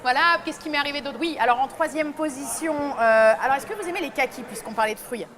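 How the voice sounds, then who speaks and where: A young woman columnist on a talk show, telling funny stories in a lively way and laughing often. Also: sits high, fast, crowd noise. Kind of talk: comic chronicle on a talk show.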